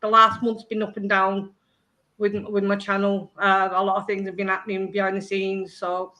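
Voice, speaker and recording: Bright-voiced Northern English woman. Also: medium pitch, completely flat delivery, moderate pace, low-quality recording. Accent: Northern English, Oldham. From England. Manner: chatty, self-deprecating, laughs easily. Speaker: cooking channel host. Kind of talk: casual livestream chat.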